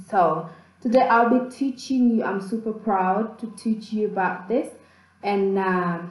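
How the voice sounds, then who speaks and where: Slow, chatty young woman vlogger. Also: medium pitch, a little mellow. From Democratic Republic of the Congo. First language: Kibembe. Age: young adult. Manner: chatty and upbeat, with fillers and restarts. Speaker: YouTuber teaching words of her language. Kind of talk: casual vlog lesson. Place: small plain room indoors. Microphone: webcam in front of her. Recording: echoey room.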